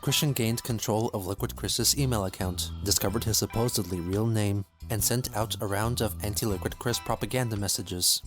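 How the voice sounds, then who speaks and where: A man narrating a documentary in an even, measured way. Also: fairly low pitch, faint music behind. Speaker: narrator of a documentary video being watched. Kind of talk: scripted narration.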